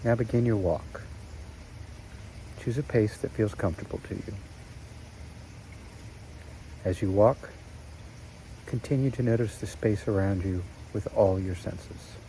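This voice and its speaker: A man narrating a guided meditation in a slow, soothing way with long pauses. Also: on the low side, warm tone, a little hiss or hum, low-quality recording.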